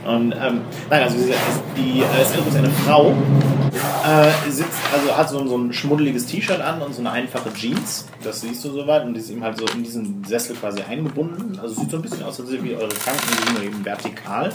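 A man's voice: mid-range voice, fairly quick. A man running a tabletop role-playing game as game master, explaining scenes and often rephrasing himself mid-sentence. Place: indoor room with other players. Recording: roomy, noisy group recording with clicks.

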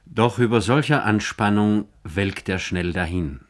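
Male narrator for a literary radio biography: lowish voice, measured, formal scripted read.

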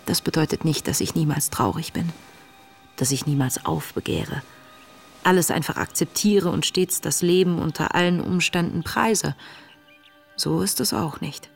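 An actress playing an earnest, searching woman in her late twenties, reading her wartime diary in a breathy, introspective, measured way for a radio play. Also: on the low side. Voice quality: breathy, often dropping toward a whisper. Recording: music under the voice.

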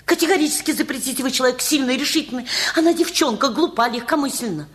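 A high, very animated middle-aged woman's voice. She is a bossy, proper mother, anxious about her son, and presses each point with commanding emphasis. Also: quick pace. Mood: urgent, persuasive. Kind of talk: radio play acting.

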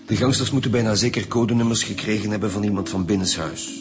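An actor playing a grave, steady chief inspector in a radio drama, with a Flemish accent and gravelly voice. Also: male voice, fairly low pitch, fast talker, lightly acted. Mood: probing. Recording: music behind the voice.

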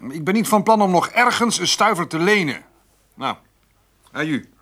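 A man playing a stubborn, homely farmer in a naturalistic radio drama, speaking haltingly and repeating his words. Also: high voice, animated. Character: stubborn, plain-spoken farmer, hesitant and homely.

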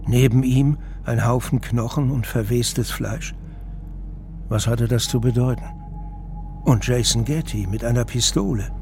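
A man's voice: mid-range voice, unhurried, gravelly, a little mellow. A man narrating a scripted audio drama. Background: music.

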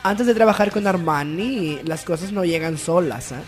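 A man's voice: high-pitched, normal speed, faint music behind, lightly acted. A man voicing a flamboyant, confessional female celebrity guest on a comedy radio show, in a theatrical, hesitant manner. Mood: candid, theatrical. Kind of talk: improvised comedy radio chat.

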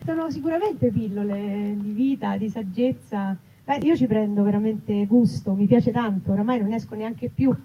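A woman's voice: medium pitch, moderate pace, hardly any pauses. A woman, a writer and actress presenting her book live. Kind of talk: live reading of comic street dialogues. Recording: muffled live recording, noticeable background noise.

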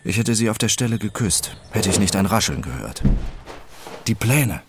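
An actor playing an introspective, obsessive man who narrates his own story in a radio drama, deliberate in his delivery. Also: lowish voice, big pitch swings, background noise. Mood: reflective.

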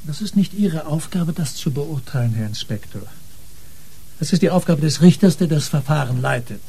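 An officious male lawyer in a radio crime play. He lectures with formal indignation in a soft-toned, somewhat high voice. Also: animated, normal speed, gravelly, husky, full character voice. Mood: indignant. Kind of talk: German radio crime drama acting.

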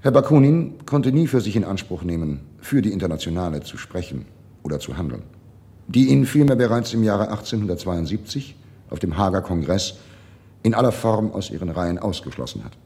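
An actor playing a disdainful, doctrinaire Marxist party functionary in a radio drama, speaking formally and officiously. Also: male voice, sits low, sing-song, fairly quick, mellow. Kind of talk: 1970s German radio drama acting.

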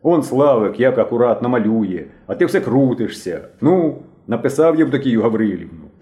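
A stern, elderly working-class woman from a storybook, acted out by a male narrator. She grumbles and scolds with heavy emphasis. Her tone is mellow in timbre yet full of reproach. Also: fairly low pitch, expressive, natural voice. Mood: stern grumbling. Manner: slow, emphatic, reproachful delivery.

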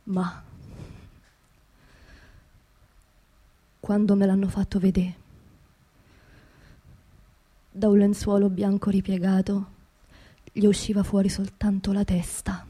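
An actress playing a grieving working-class widow in a radio theatre monologue, with a Roman accent and an intimate, halting delivery that repeats phrases. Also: female voice, mid-range voice, mellow, breathy edge, lightly acted. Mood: hushed grief. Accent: central Italian, Roman. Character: grieving working-class widow of a construction labourer, haunted, indignant.

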